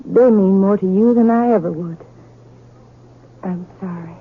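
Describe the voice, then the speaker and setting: A woman playing a sorrowful young frontier schoolmarm in an old-time radio drama, gently but firmly reproachful. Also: medium pitch, unhurried, husky. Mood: sad reproach. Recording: tinny vintage radio recording.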